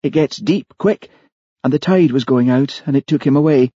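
A man's voice: medium pitch, moderate pace, dark tone, husky, dry recording, dull audio, voice pushed up, natural voice. A man voicing a woman who confesses to murder, unrepentant and matter-of-fact, in an audiobook. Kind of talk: audiobook character voice.

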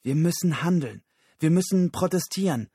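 A man's voice: medium pitch, expressive, moderate pace, a little mellow, slightly rough, dry recording, clean studio sound, pitched above the performer's own voice. A man voicing a furious, combative woman with agitated, emphatic outbursts, in an audiobook story. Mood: determined. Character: furious, combative woman activist, fiery and urgent.

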